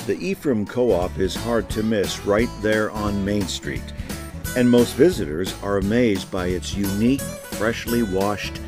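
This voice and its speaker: A man's voice: lowish voice, unhurried, loud music behind the voice. A man hosting a travel show, reading scripted narration. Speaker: host and narrator.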